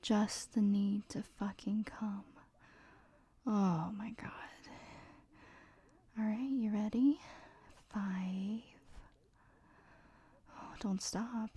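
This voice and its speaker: Slow, breathy whispering woman. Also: mid-range voice, fairly even intonation. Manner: mostly whispered; teasing and commanding, with soft laughs. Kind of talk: whispered audio roleplay. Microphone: close mic.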